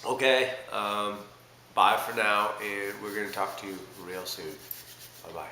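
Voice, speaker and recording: Slow, stuffy-nosed man rambling to camera. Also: lowish voice. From Canada. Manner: rambling, hesitant, many fillers. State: stuffed up with a cold. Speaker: vlogger. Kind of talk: casual video diary. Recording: echoey room with loud background noise.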